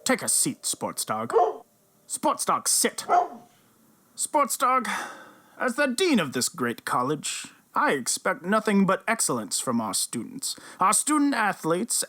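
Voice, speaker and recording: A woman's voice: fairly low pitch, fairly bright tone. A woman playing authoritative announcer and official roles in a comedic audio drama. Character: broadcast announcer, sports commentator and stern college dean. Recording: music behind the voice.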